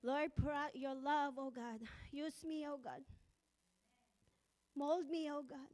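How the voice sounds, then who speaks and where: A woman's voice: sits high, steady tone, slow pace, a little mellow. A middle-aged woman pastor with a Filipino accent, whose first language is Ilocano, preaching a church sermon with nervous laughter and fervent prayer.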